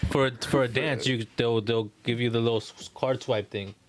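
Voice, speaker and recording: A man's voice: medium pitch, steady tone, normal speed, a crowd in the background. A man co-hosting a casual podcast in a playful, teasing way.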